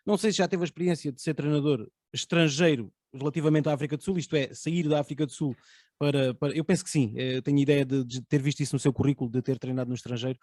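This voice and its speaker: Mellow-voiced young Portuguese male host. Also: on the high side, steady tone, fast talker, no room sound, clean studio sound. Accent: European Portuguese. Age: young adult. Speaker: host of a football talk show. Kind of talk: conversational panel interviewing.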